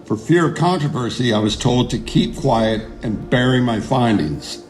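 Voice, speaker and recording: An actor playing an earnest, idealistic neuroscientist in an audio drama, speaking in a measured, deliberate way. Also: male voice, mid-range voice, expressive, soft background music, lightly acted. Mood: measured, grave. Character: earnest idealistic male neuroscientist, defiant under pressure. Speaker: neuroscientist, lead character. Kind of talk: audio drama narration and dialogue.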